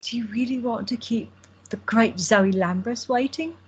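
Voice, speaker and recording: A woman's voice: a little high, normal speed, breathy edge, dull audio. An actress playing a playful young woman who teases her girlfriend, in an audio drama. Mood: teasing. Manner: playful, teasing. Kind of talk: amateur audio drama acting.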